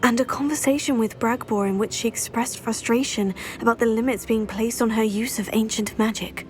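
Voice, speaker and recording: A woman's voice: on the high side, steady tone, fairly quick, slight background noise, lightly acted. A woman voicing an earnest, determined young student of magic in a video game, polite and direct. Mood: earnest, explaining. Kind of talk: video game character dialogue.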